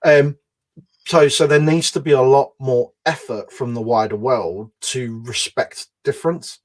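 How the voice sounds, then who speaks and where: A man's voice: mid-range voice, fairly even intonation, measured pace. A man who is the guest in an interview, explaining his points steadily and stacking them in lists. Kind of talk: conversational interview.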